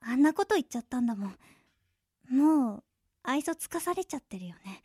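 A woman playing a flustered, sharp-tongued teenage shut-in girl in an anime-style radio drama, cutesy and stammering. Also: sits high, lively intonation, brisk, breathy edge, dry recording. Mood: wistful, anxious.

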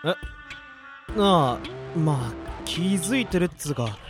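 Teen straight man, radio drama: male actor as a grumbling boy, bright and expressive, casual sharp retorts, music underneath. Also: fairly high pitch, unhurried. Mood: hesitant, puzzled. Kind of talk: anime-style radio drama acting.

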